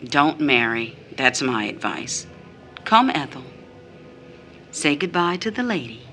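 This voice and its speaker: A woman's voice: rumbling low, big pitch swings, slow, pushed and bright, soft background music, full character voice. A woman playing a bitter, disillusioned mother in an audio drama, emphatic and grave. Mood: subdued, grave. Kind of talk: audio drama stage-style acting.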